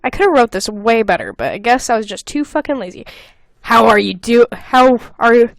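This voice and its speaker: A woman's voice: on the high side, big pitch swings, moderate pace, vocal fry. A young adult woman hosting a podcast, casually reading old fan fiction aloud with giggly, sweary comic asides. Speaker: podcast host.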